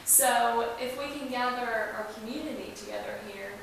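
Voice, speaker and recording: High-voiced woman in a very echoey room. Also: normal speed. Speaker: interview guest, museum representative. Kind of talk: casual interview talk. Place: indoors in an old museum building. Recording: very echoey room, distant mic, hiss.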